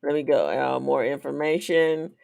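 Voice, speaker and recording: A man hosting a live-streamed business talk show, speaking in an unhurried, halting way with many "um"s and short nods of agreement. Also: medium pitch, vocal fry, a little mellow, clear, resonant tone. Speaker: show host.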